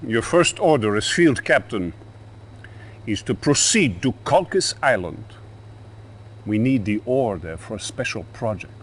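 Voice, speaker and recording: An older Soviet dictator from a game cutscene, menacing and boastful. He takes his time over each phrase, and his pitch rises and falls dramatically for theatrical effect. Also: expressive. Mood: grandiose, ominous. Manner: slow, deliberate, ominous. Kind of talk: video game cutscene acting.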